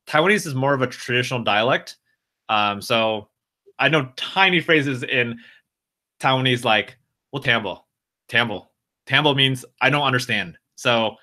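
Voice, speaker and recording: An American man hosts a live stream, speaking in a bright, forceful voice at a middling pitch. He rambles easily, stopping and restarting as he reads out and answers his viewers' comments. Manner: loose, rambling, full of restarts and fillers. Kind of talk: casual live stream chat.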